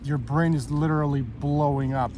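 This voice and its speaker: A man with a somewhat high voice hosts a spiritual conversation. He speaks deliberately, building his thoughts phrase by phrase, over clear outdoor noise and vehicle sounds. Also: steady tone. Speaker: host introducing a guest.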